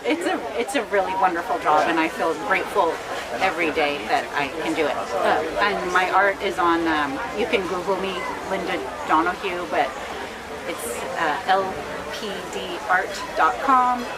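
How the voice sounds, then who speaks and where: A woman artist answering interview questions casually, chatty and quick to laugh. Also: on the low side, animated, low-quality recording. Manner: laughs often, warm and chatty. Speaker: artist selling paintings. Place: outdoor art fair. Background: busy crowd.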